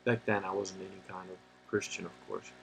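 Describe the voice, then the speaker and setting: A man hosting a livestream, talking casually and answering chat questions in a rambling, halting way with many pauses, and sometimes turning blunt and opinionated. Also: low voice, little pitch movement, creaky, fairly bright tone, a little hiss or hum, low-quality recording. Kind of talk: casual unscripted monologue.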